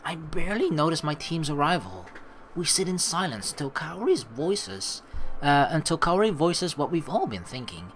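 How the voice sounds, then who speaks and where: A man's voice: on the high side, very animated, moderate pace, fairly bright tone, slight background noise. A man hosting a let's play, mixing read-aloud narration with rambling, profane casual commentary. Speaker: let's play host reading game text aloud.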